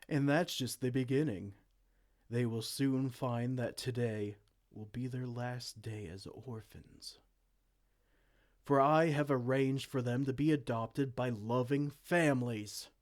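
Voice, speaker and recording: A man playing a vain, silly, soft-hearted evil king in a comedic fantasy roleplay, pompous and theatrical but slipping into casual dorkiness. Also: medium pitch, lightly acted. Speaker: audio roleplay performer.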